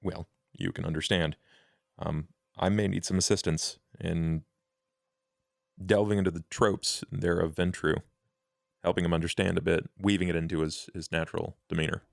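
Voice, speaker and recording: A man playing a courtly, world-weary vampire in a tabletop roleplay, speaking gravely in formal, deliberate, ornate phrases. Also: sits low, flat delivery, dry recording, studio quality. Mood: measured, scheming. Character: measured, scheming male vampire named Duke, courtly and world-weary.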